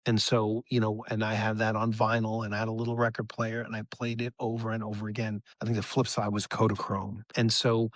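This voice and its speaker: A man talking casually as a musician guest on a podcast, effusive and halting, with many fillers. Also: fairly low pitch, monotone, no room sound, studio quality.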